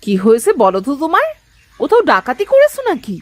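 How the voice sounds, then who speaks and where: Sharp-tongued cartoon village wife, high and swooping, as a woman voices her. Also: wildly swooping pitch, quick pace, exaggerated voice. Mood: suspicious. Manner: suspicious, scolding questions. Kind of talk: Bengali cartoon voice acting.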